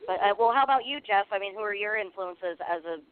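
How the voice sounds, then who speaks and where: High, smooth-voiced man co-host. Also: fast, no room sound. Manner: brief, friendly questions. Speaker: radio co-host. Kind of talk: casual radio interview. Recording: phone-like radio recording.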